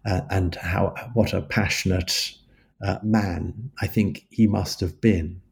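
A man answering interview questions about his book in a measured, halting way, with frequent restarts. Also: sits low, fairly even intonation, gravelly, darkish tone, husky. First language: English. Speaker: author guest.

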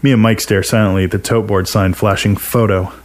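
A man narrates short fiction in a fairly low voice. He reads in the first person, as the storyteller himself, from a prepared script. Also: steady tone, brisk.

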